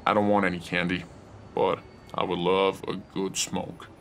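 A man's voice: low-pitched, little pitch movement, normal speed, slight background noise. A man playing a cocky, mocking bad side. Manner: teasing, cocky, laughs at others. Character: crude male inner bad side, mocking, craves smokes and drink.

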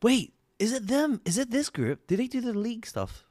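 A man's voice: extremely high pitch, very animated, normal speed, darkish tone. A young Australian man streaming from a small room into a desk mic, reacting casually to music videos with a lot of laughing and repeated, rambling words.

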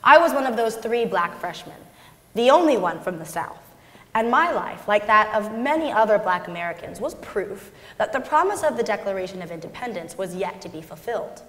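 A young woman giving a measured, expressive dramatic reading of a memoir on stage. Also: mid-range voice. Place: large indoor concert hall stage. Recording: echoey concert hall stage recording.

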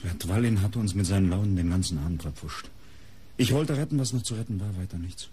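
An actor playing a guarded murder suspect under interrogation in a German radio crime play, answering tersely and evasively. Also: male voice, deep voice, quick pace, warm tone, a little hiss or hum, natural voice. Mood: uneasy, defensive.

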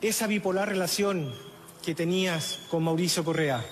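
A middle-aged man with a Chilean accent reads a funeral eulogy at a lectern microphone outdoors, in a solemn, measured way with halting restarts, audibly emotional. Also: high voice, slight background noise. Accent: Chilean Spanish. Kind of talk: funeral eulogy, read aloud. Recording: echoey, reverberant outdoor sound with faint ambience.

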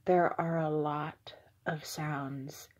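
A young adult woman giving a whispered, halting ASMR monologue in a breathy voice, recorded indoors on a phone held at arm's length. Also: sits low, steady tone, darkish tone. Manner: slow, hesitant, halting. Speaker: ASMR video creator. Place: small indoor room with curtains.

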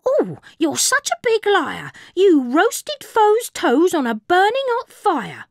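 A woman's voice: wildly swooping pitch, takes it slowly, gravelly, no room sound, studio quality, full character voice. A woman voicing a brash, squawky parakeet that hurls accusations in a storybook. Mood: accusing. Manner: accusing, shrill.

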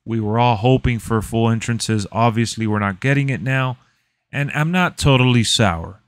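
A man's voice: mid-range voice, moderate pace, no room sound. A man in his thirties giving casual, emphatic, conversational commentary as a gaming video host, speaking close to the microphone. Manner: emphatic, conversational, repeats points for stress. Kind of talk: casual reaction commentary to camera.

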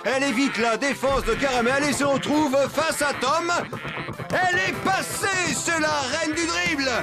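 A high-pitched, theatrical football commentator, full of breathless enthusiasm and drawn-out words. It is a children's cartoon parody with loud music behind. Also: male voice. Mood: wildly excited. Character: excitable male football commentator narrating farm match.

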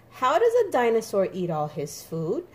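A woman reading a picture book aloud to young children in an expressive, sing-song, emphatic way. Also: a little high, a little hiss or hum. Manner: animated, emphatic, sing-song with repeated words for small children.

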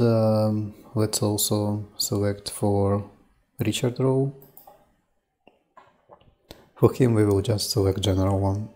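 Slow, flat-voiced man walking through software. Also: on the low side. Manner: unhurried, stepwise, with frequent "uh" and "um". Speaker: software demo presenter. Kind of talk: screen-recorded software tutorial.

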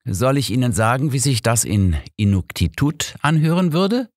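A man's voice: mid-range voice, sing-song, moderate pace, a little mellow, no echo, clean studio sound, voice pushed up. A man voicing a clever old detective with a wry, matter-of-fact manner in an audiobook mystery. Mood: wry, teasing.

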